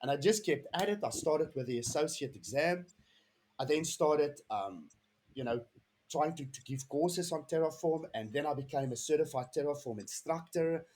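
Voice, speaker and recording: A man's voice: a little high, expressive, moderate pace, a little mellow. A man in his thirties with a South African accent, a podcast guest telling his story in an earnest, rambling way with many restarts. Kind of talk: casual interview chat.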